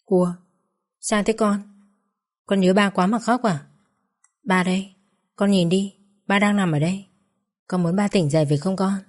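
A woman voicing a grieving young mother who talks softly and pleads close to tears. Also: fairly low pitch, normal speed, pauses often, lightly acted. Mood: soothing. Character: grieving young mother at her husband's bedside.

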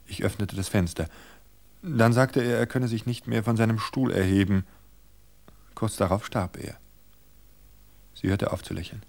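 Male narrator, gravelly, lowish, measured storytelling, studio radio play. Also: steady tone, darkish tone.

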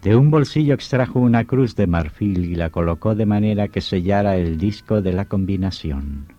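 A man's voice: lowish voice, animated, normal speed, warm tone, dry recording. A man narrating a horror radio drama in a solemn, theatrical way. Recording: dull vintage radio recording, music behind.

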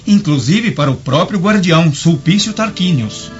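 An earnest messenger clerk, played by a man with a fairly high voice and Brazilian accent. He speaks with precise, respectful formality, as in a radio play. The audio is slightly dull. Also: animated, normal speed, natural voice. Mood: dutiful, firm. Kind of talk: radio drama acting. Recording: dull lo-fi audio, faint music.

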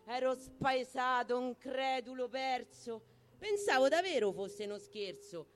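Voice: sits high, expressive, unhurried. A performer with a Roman accent giving a theatrical, emphatic spoken-word recital of rhymed verse over guitar music. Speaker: poetry performer.

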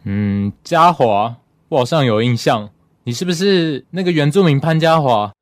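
A man's voice: a little high, expressive, normal speed, lightly acted. Man playing a courteous, friendly graduate student. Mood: puzzled, recalling. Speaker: radio drama actor. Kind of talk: radio drama dialogue.

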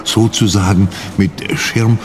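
An actor playing a vain older gentleman criminal who craves fame, speaking in a pompous, self-dramatizing way in a German radio play. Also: fairly low pitch, fairly even intonation, slow, slight background noise. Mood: grandiose. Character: vain aging ex-criminal craving fame again.

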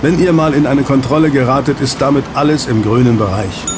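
An actor in an audio drama playing a friendly ex-agent who runs a snack bar, soothing and chatty. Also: male voice, mid-range voice, a little fast, lightly acted. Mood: proud, chatty. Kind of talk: German audio drama dialogue. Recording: clear street and traffic ambience. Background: outdoor city street with vehicles.

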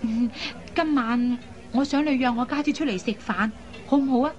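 A woman acting a lively, headstrong young matchmaking sister in a radio drama, with a chatty, coaxing manner. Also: on the high side, moderate pace, muffled recording, lightly acted. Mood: eager, coaxing. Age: young adult. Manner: coaxing, chatty, expressive with sighs and particles.

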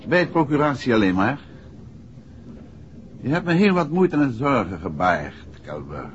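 An actor playing a shrewd, composed male detective in a 1960s radio drama, speaking Netherlands Dutch in a measured, assured way. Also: mid-range voice, big pitch swings. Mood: confronting, composed. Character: shrewd, composed male amateur detective explaining a solved case. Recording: muffled, noisy 1960s radio recording.

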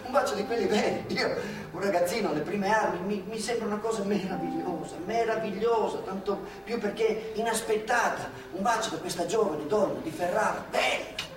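An actor playing an earnest old ex-partisan. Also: male voice, sits high, a little fast, close to an everyday voice. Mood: wistful. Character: older man, former partisan remembering his youth. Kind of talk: stage monologue in a radio play. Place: theatre stage. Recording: very echoey stage from far off.

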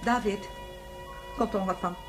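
A woman's voice: mid-range voice, fairly even intonation, moderate pace, over music, close to an everyday voice. A woman playing a flustered, scatterbrained schoolteacher with a short temper, scolding and rambling emphatically in a Dutch radio drama. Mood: scolding, impatient.

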